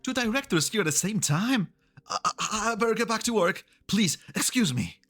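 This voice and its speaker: A man's voice: sits high, normal speed, soft background music. A man playing an eager, polite character in a game fan dub. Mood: eager, flustered. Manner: animated, polite.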